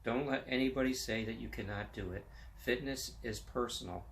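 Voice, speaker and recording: A man's voice: medium pitch, moderate pace, slight background noise, poor audio. A man in his fifties hosting a casual vlog, chatting enthusiastically about sports and fitness in a motivational way. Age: 50s. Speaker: vlog host.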